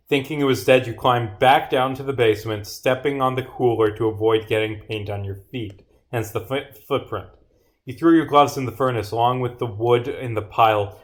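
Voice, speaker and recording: Male streamer and indie developer, medium pitch, theatrical script read-through mixed with muttered asides. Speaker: streamer and visual novel developer. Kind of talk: livestream of reading own game script aloud with commentary.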